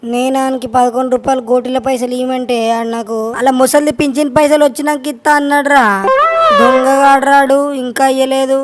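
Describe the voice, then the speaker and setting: Casting: female voice for food-loving village lad, high pitch, Telangana dialect, cheeky and whiny, cartoon comedy, faintly noisy. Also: little pitch movement, fairly quick, close to an everyday voice. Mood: grumbling. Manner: cheeky, whining, back-talking. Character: cheeky, lazy village boy who loves food. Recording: faint noise behind the voice.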